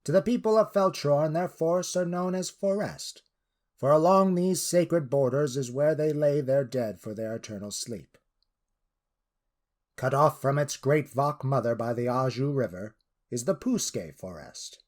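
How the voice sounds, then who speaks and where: A man of middling pitch serves as storyteller for a fantasy adventure. He delivers scripted narration theatrically, shaping each phrase with deliberation. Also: animated, a little slow, a little mellow. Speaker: narrator and creator of the story world.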